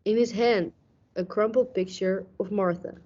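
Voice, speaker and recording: A woman's voice: medium pitch, fairly even intonation, a little mellow, natural voice. A woman playing a brooding, hard-boiled police detective who narrates a radio mystery dramatically. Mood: tense, suspenseful. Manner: dramatic, deliberate storytelling. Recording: dull lo-fi audio.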